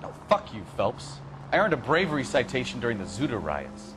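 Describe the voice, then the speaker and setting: An actor playing a cynical, needling American veteran traffic cop in a video game cutscene, sardonic and wisecracking. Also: male voice, medium pitch, wildly swooping pitch, fairly quick. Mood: defensive. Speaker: police detective.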